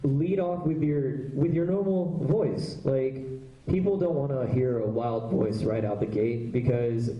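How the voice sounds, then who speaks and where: A man's voice: medium pitch, a little slow, lo-fi sound. A man, a voice actor, casually answering audience questions at a convention panel, talking loosely with plenty of fillers. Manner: chatty, loose, lots of fillers. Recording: echoey panel room.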